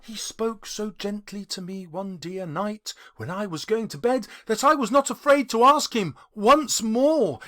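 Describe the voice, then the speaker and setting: Man putting on a high, gleeful little girl. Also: pushed and bright, exaggerated voice. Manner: breathless childlike glee. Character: eager, loving, excitable little girl.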